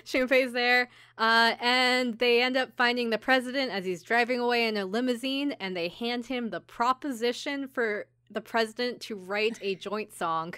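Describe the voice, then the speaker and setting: A woman's voice: a little high, normal speed, few pauses, bright, forceful tone, clean tone, no echo, clean studio sound. A woman hosting a podcast, chatting casually and acting out bits as she recaps a show. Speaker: podcast host. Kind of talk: casual chat recapping an anime.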